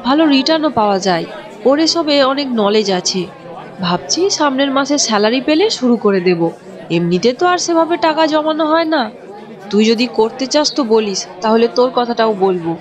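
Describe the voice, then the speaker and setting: Casting: woman playing a boastful, talkative young cartoon office worker, fairly high, crowd chatter behind. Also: expressive, moderate pace, lightly acted. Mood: chatty. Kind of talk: Bengali cartoon voice acting.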